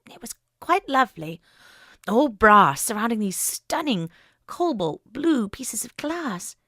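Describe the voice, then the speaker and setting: Lady narrator doing a proper little old lady, breathy n hesitant, kinda high voice, audiobook style, very dry recording. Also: sing-song, slow pace, a bit bright, dry recording, full character voice. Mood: dreamy, wondering. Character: frail, flustered elderly genteel woman, former suffragist. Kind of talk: audiobook character acting.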